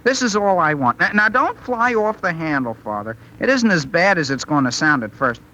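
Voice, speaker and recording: An awkward teenage boy in a 1930s radio comedy. His voice is high and bright, and he pleads with earnest, stammering eagerness. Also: very animated, moderate pace, muffled recording. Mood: earnest, wheedling.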